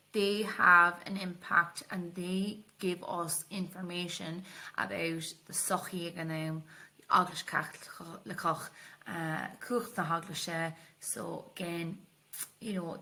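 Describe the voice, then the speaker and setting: Irish woman, teacher, slightly low pitch, bright crisp-edged tone, informal tutorial delivery, hesitant explaining. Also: rough recording. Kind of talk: exam revision tutorial, explaining to students.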